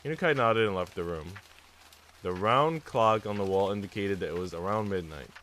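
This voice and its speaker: A man's voice: on the low side, moderate pace, fairly bright tone. A man on a live stream, reading visual novel text aloud and chatting casually with viewers. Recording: noisy mic with typing sounds.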